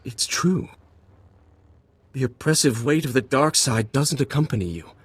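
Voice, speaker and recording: Game role: middle-aged warrior monk, stern, earnest and formal, medium pitch, close dry sound. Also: male voice, lively intonation, unhurried, husky, no echo. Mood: conflicted, solemn. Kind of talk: dramatic video game cutscene acting.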